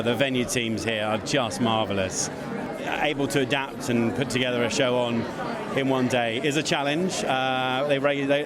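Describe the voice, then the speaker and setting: A man being interviewed as an event producer, effusive and a bit hesitant, in casual chat at a busy venue. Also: medium pitch. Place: theatre foyer before an event. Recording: crowd noise at busy venue. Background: crowd chatter.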